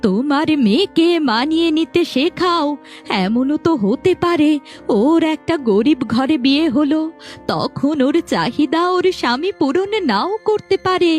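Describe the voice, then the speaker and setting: Stern, high-voiced old grandmother played by a woman. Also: lively intonation, unhurried. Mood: admonishing. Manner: scolding, admonishing. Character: stern old grandmother, scolding yet caring mother-in-law. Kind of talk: cartoon fairy-tale voice acting. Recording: faint background music.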